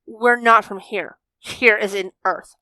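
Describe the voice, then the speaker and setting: Man putting on an insistent young daughter, high-pitched. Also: fairly even intonation, slow, pushed and bright, no echo, heavily acted, rough recording. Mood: demanding. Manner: demanding, emphatic.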